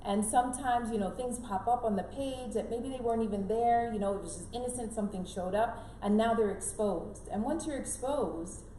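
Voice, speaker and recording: A woman's voice: on the high side, fairly quick, few pauses, warm tone. A middle-aged woman raised in Canada and born in Jamaica, giving earnest conversational advice as an interview guest, emphatic and repeating key phrases. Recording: roomy echo, clear background noise.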